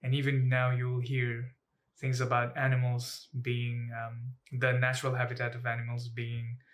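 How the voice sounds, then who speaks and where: Young male student speaker. Measured, pausing phrasing, level intonation, mid-range voice, earnest advocacy talk. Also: mid-range voice, monotone, fairly bright tone. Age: young adult. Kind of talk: personal advocacy talk to camera.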